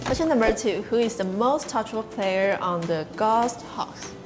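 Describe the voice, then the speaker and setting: A woman interviewer reading out numbered questions brightly. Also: mid-range voice, animated, moderate pace. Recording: echoey room with loud music.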